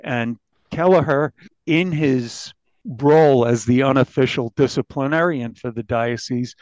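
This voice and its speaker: A man with an American accent recounting a case as an interviewed reporter, haltingly, often restarting his phrases. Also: mid-range voice, a little mellow, no echo. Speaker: newspaper reporter being interviewed. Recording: dull, dry lo-fi recording.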